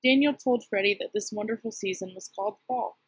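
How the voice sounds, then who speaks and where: A woman's voice: mid-range voice, fairly quick, husky. A woman reading a children's storybook aloud, dialogue included. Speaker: amateur reader, choir member.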